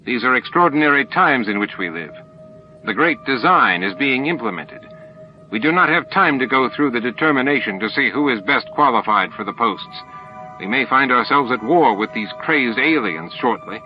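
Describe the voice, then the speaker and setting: Man voicing a commanding alien grandmaster, raised pitch. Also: dull audio, close to an everyday voice. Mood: irritated, forceful. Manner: formal, authoritative, clipped. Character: commanding, self-assured male alien grandmaster of a hive.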